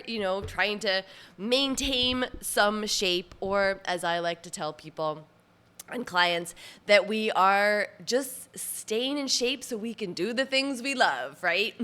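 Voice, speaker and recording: A woman's voice: on the high side, pushed and bright. A woman in her late forties hosting her own podcast as a personal trainer, talking casually with lots of fillers and little laughs, sounding a bit nasal from allergies. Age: 40s. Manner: chatty, laughs often, many fillers. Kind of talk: casual solo podcast talk.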